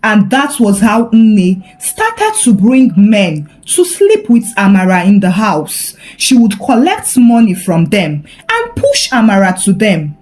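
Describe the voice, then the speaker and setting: A woman narrating a scripted folktale in a dramatic, expressive storytelling manner. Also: medium pitch, takes it slowly, hardly any pauses, rough recording.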